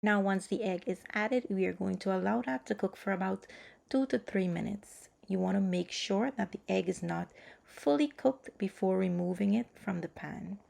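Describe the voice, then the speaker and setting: A woman's voice: medium pitch, moderate pace, gravelly, a little mellow. A woman hosting a cooking video, explaining each step in an orderly, instructional voice-over. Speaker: cooking channel host.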